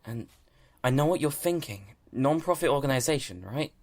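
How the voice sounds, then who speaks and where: An actor playing an earnest, loyal young military subordinate in an audio drama, speaking carefully and hesitantly. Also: male voice, mid-range voice, lively intonation, moderate pace, natural voice. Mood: careful, guarded.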